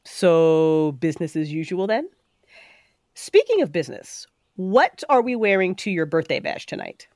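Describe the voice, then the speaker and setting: A woman's voice: lowish voice, huge pitch swings, measured pace, darkish tone, no room sound, clean studio sound, exaggerated voice. A woman playing a teasing, confident and bossy superhero fighter in an audio drama. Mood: affectionate teasing. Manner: teasing, assertive.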